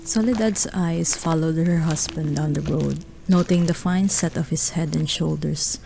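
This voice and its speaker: A woman narrating a radio drama, reading scripted literary prose in a measured way. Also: sits low, creaky, dark tone, slight background noise, rough recording.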